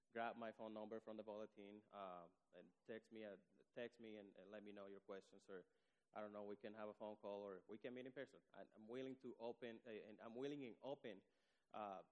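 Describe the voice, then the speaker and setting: A man from the Dominican Republic preaching a sermon, hesitant and full of ums and restarts. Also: lowish voice. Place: church sanctuary. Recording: long church hall reverb.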